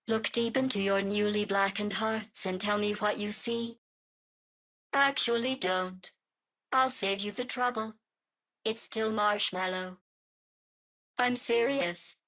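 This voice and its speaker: A woman voicing a cold, murderous artificial intelligence in a video game, deadpan, clinical and mock-polite. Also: medium pitch, gravelly, heavily acted. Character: cold, sardonic, murderous female artificial intelligence running tests.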